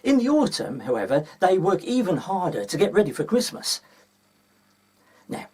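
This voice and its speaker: A man with a husky voice reading a children's story aloud as a storyteller. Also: on the high side, darkish tone.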